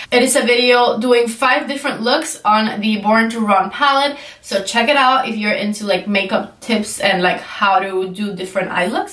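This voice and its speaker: A woman's voice: fairly high pitch, a bit bright. A young woman reviewing makeup in a casual, chatty way, her voice stuffy and congested from a cold. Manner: chatty, enthusiastic, many "like" fillers. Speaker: beauty vlogger. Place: indoor room with shelves. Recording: roomy echo, voice slightly far from mic.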